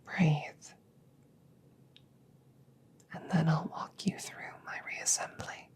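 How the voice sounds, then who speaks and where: A woman playing a protective, guilt-ridden female android sharpshooter in a roleplay audio drama, speaking in slow, measured, soothing phrases with a breathy voice. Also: darkish tone, close to an everyday voice. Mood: gentle, reassuring. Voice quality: breathy whisper.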